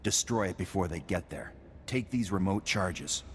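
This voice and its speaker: A man's voice: lively intonation, normal speed. A man playing an earnest, grave military officer in a science fiction video game. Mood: grave, decisive. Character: earnest, grave Republic military commander at an ice outpost. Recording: boomy hall reverb, noisy game ambience.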